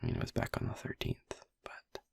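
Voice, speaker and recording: A man playing a brooding, tender vampire boyfriend in an intimate roleplay audio drama, speaking softly and haltingly in a breathy voice that often nears a whisper. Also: no echo, studio quality, lightly acted. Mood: somber, reflective. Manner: halting, soft, hesitant, with long pauses. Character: brooding, tender male vampire boyfriend, haunted by his turning.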